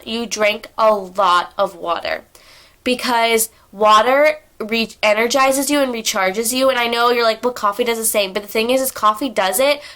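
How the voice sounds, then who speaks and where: A woman's voice: on the high side, fairly bright tone. A young woman vlogger talking casually to camera at home, stuffy-nosed from a cold, chatty and full of fillers. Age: young adult. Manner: chatty, filler-heavy, tangents. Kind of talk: casual sit-down vlog talk. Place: indoors at home.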